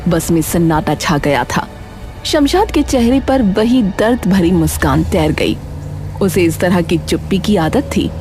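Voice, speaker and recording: Low-pitched woman narrating a dramatic story. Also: animated, unhurried, a little mellow. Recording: music behind the voice.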